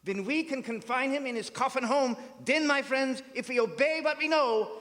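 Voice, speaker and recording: A man playing a grave, authoritative professor who leads vampire hunters in a radio drama, speaking in solemn, deliberate oratory. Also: very high voice, a bit bright. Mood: solemn warning. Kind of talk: old-time radio drama acting. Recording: live theater stage, long hall reverb.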